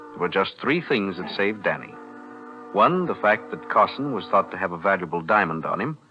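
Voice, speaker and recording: Smooth-talking menacing lawyer, lowish voice, old radio thriller, dull recording. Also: male voice. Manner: measured, articulate, insinuating. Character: shrewd, composed male defense attorney with menace. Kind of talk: 1940s radio thriller acting.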